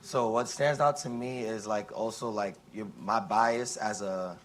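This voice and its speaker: Young man asking a halting question from the audience. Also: fairly low pitch, fairly even intonation, a little hiss or hum. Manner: halting, many fillers and restarts. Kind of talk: rambling audience question at a panel. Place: conference room with an audience.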